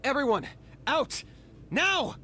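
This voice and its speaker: An actor playing a resolute young hunter in a video game, matter-of-fact and composed. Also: male voice, extremely high pitch, sing-song, slow, slight background noise, exaggerated voice. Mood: urgent. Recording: loud game music behind. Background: loud music.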